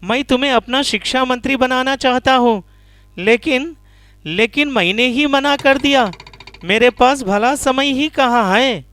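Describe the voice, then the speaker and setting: A man's voice: very high-pitched, measured pace, bright, forceful tone, pitched above the performer's own voice, exaggerated voice. A man voicing a cunning, vain female fox in a children's animal story, bragging and argumentative. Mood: boastful.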